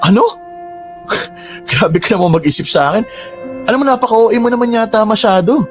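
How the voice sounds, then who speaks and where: An actor playing an exasperated, pleading young Filipino boyfriend in a radio drama, protesting emotively. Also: male voice, sits high, normal speed, natural voice. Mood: defensive, exasperated. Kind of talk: Tagalog radio drama acting. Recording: music bed under dialogue.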